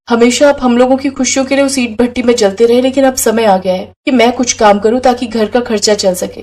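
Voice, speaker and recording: A woman's voice: a little high, darkish tone, lightly acted. A woman voicing a poor, devoted young wife and mother in a moral story, speaking in an earnest, plaintive way. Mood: reassuring, earnest.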